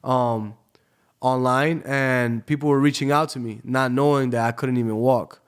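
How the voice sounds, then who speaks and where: A man from Tampa, a DJ guesting on a podcast, tells his story in an easygoing, conversational way, dropping "you know" every few phrases. His voice is smooth and resonant and sits at an ordinary pitch for a man. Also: steady tone, normal speed. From Tampa, Florida. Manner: laid-back, peppers his talk with "you know". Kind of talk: casual storytelling in a podcast interview.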